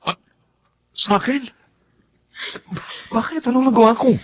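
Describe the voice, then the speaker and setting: A man's voice: very high-pitched, a little slow, fairly bright tone. A man playing an earnest, mild-tempered young boyfriend in a Tagalog radio drama, explaining himself. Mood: flustered. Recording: muffled audio with background noise.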